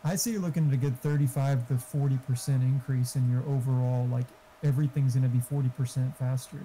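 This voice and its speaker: Guy explaining stuff casually over voice chat, some hiss behind him, average pitch. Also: steady tone, quick pace, warm tone. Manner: informal, swearing, explanatory. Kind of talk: casual chat while gaming. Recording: hissy, noisy voice chat.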